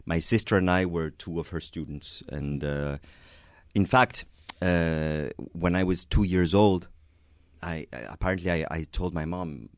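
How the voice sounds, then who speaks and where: A man's voice: sits low, no echo, studio quality. A man from Venezuela, a concert pianist, answering interview questions in a hesitant way, with many restarts and light chuckles.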